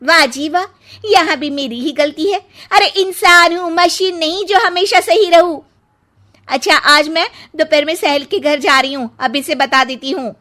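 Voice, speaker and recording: A woman's voice: high voice, measured pace, pushed and bright. A woman playing a sarcastic, aggrieved young daughter-in-law in a cartoon story, with a complaining manner. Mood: matter-of-fact.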